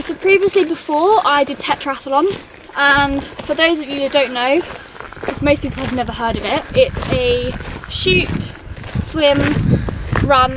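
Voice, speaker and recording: Chatty young English woman in a forest. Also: high voice. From England. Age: 20s. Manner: chatty, frequent fillers, laughs at herself. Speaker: vlogger. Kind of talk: casual chat while walking. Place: outdoors in a forest. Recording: loud outdoor background noise.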